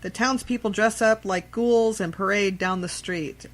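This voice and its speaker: A woman's voice: mid-range voice, fairly even intonation, unhurried, rough recording. A woman co-hosting a radio show, reading out trivia and chatting casually about it.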